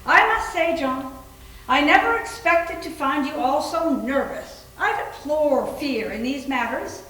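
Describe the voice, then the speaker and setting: A high-voiced, domineering doctor's wife acted by a woman in a stage reading, impatient and pompous. She gives brisk orders as a self-important spiritualist, heard from a distance in a very echoey space. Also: expressive, a bit bright. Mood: imperious, critical. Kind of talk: readers theater stage reading. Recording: echoey room, mic at a distance.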